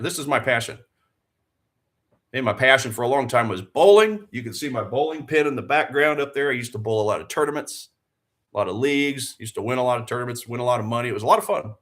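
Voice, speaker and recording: Man in his forties, live-stream trading host, earnest, fired up, repetitive emphasis, rhetorical questions, mid-pitched voice. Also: fairly bright tone. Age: 40s. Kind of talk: live-stream motivational chat and trading commentary.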